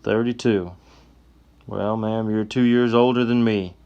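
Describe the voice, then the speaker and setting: A man voicing a polite, earnest cowboy of thirty who speaks gently and quietly. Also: lowish voice, steady tone, measured pace, smooth, dull audio. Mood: gentle.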